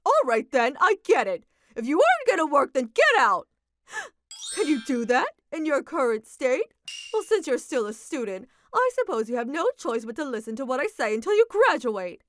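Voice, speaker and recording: A woman voicing a cold, domineering mother in a manga dub, talking in loud, sharp scolding. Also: high-pitched, very animated, moderate pace, slight background noise, voice pushed up.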